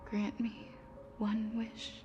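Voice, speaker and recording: A woman voicing a frail, wistful, tender young woman in a video game, breathy and faint. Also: medium pitch, monotone, drawn-out words, dull audio. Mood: pleading. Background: game music.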